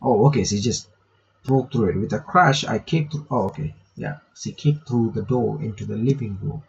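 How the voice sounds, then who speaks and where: A man's voice: fairly low pitch, normal speed, vocal fry, highs cut off. A man reading visual novel text aloud with casual commentary, breaking off to remark and reread lines. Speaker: gaming reaction video maker.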